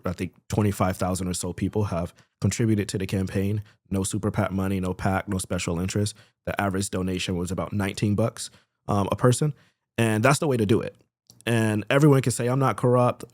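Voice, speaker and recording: Deep-voiced young man, earnest and dry. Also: a little mellow, no echo. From Florida. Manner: earnest, fills with "um" and "uh". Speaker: congressional candidate. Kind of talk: interview answers.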